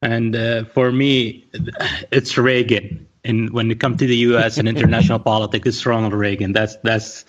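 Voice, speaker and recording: A man's voice: medium pitch, little pitch movement, normal speed, muffled recording. A man in his forties from Saudi Arabia, speaking hesitantly with many fillers on a casual podcast. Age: 40s. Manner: hesitant, lots of uhs. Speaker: podcast co-host.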